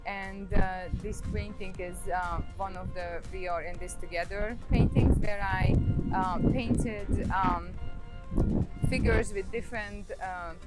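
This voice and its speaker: Slow, hesitant Hungarian-accented woman. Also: medium pitch, fairly even intonation, loud music behind the voice. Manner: hesitant, many ums and uhs. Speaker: painter. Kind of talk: artist talk about her painting.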